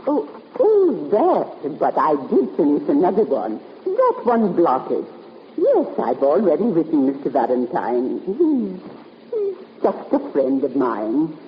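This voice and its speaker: A woman's voice: sits low, wildly swooping pitch, full character voice. Fluttery old lady, wildly swooping voice. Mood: nervous, evasive. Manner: hesitant, fluttery, halting. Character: fretful, genteel elderly lady companion, secretive and fluttery. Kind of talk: 1950s radio drama acting. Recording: muffled, noisy 1950s radio recording, reverberant.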